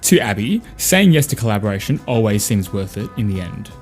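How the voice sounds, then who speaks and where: A man's voice: lowish voice, big pitch swings, brisk, darkish tone, soft background music. An Australian man hosting a podcast, reading a scripted outro and credits. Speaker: podcast host and voice actor.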